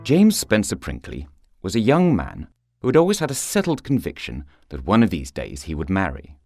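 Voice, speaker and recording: Animated male storyteller, dry close sound. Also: deep voice, normal speed, dry recording, soft background music. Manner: theatrical, expressive storytelling. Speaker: narrator of a short story. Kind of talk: scripted literary narration for radio.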